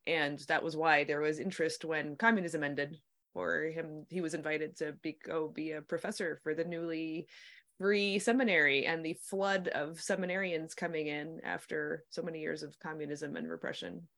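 A woman in her forties, chatting in a relaxed conversation, laughing easily and making quick asides. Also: sits low, creaky. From New Jersey/New York, USA. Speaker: podcast host and guest. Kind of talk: casual conversation.

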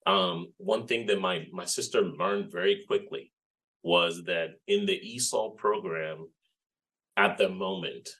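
A man in his forties with a very low voice. He is a Spanish speaker who talks as an interview guest. He recounts things reflectively and often adds "you know". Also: a bit bright. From Puerto Rico. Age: 40s. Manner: reflective, unhurried storytelling with frequent "you know". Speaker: guest, game developer. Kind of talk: casual interview chat.

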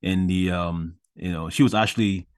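A man's voice: low voice, fairly even intonation, normal speed, no room sound, studio quality. A man from Ghana, a podcast guest, chatting animatedly and emphatically, often restarting his sentences. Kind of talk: casual interview chat.

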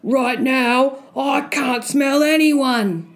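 Woman acting out a booming, menacing storybook giant. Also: very slow. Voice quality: rumbling, booming. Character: huge menacing male giant, grumpy and gross.

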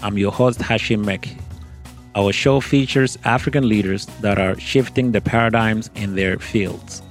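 A man hosting an interview podcast, reading a formal, prepared introduction to the show and his guest. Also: fairly low pitch. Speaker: podcast host. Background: soft music bed.

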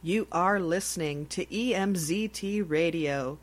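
A woman with a low voice delivers a horror radio station ident. She speaks very slowly as the announcer.

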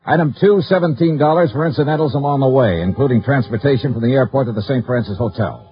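An actor playing a brisk, wry male insurance investigator in a 1950s radio detective show, clipped and businesslike. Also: mid-range voice, faint music behind, lightly acted. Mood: dry, businesslike. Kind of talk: 1950s radio detective acting and first-person narration. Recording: muffled 1950s radio recording.

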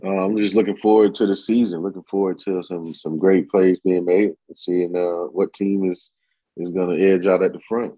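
A man co-hosting a podcast, speaking in a relaxed way with some hesitation. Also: sits low, normal speed, no echo, rough recording.

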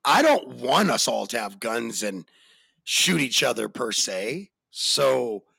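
An American man hosting a casual podcast chat, animated and slangy, with a deep voice that jumps in pitch for emphasis. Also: takes it slowly, creaky, slightly rough, dry recording. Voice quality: deep bassy voice. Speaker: podcast host.

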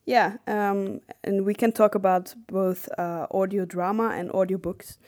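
A woman's voice: mid-range voice, level tone, a little slow, a little mellow. A woman speaking as a podcast co-host, explaining points casually in a back-and-forth discussion.

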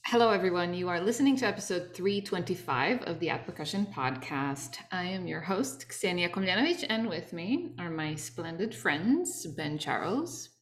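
Woman, podcast host, somewhat low pitch, chatty, laughs a lot. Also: expressive, moderate pace, hardly any pauses. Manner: laughs often, friendly and chatty. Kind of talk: casual chat.

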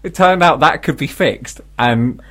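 A British man co-hosting a radio show, chatting casually and telling self-deprecating comic stories in an animated way. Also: a little high, a little slow. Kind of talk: casual comic chat, anecdote.

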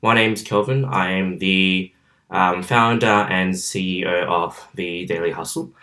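A young Australian man hosts his own podcast from his bedroom. His voice sits very low but comes across bright and forceful. He talks casually and sociably, with plenty of ums along the way. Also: steady tone, unhurried. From southwestern Sydney, Australia. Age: about 21. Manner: chatty and outgoing, many fillers. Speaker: podcast host. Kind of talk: casual solo podcast monologue. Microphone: camera, filmed.

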